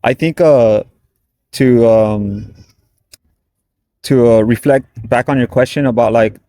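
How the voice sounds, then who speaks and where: A man's voice: mid-range voice, slow pace, warm tone. A man, a band member and native English speaker, talking at length in a casual interview, rambling and correcting himself. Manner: rambling, chatty, self-correcting.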